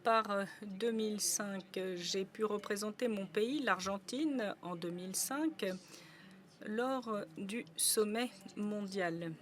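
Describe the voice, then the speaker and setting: A woman's voice: mid-range voice, slow pace. Woman interpreting with frequent hesitations. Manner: steady relay with frequent hesitations. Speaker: conference interpreter. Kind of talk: simultaneous interpretation of a panel.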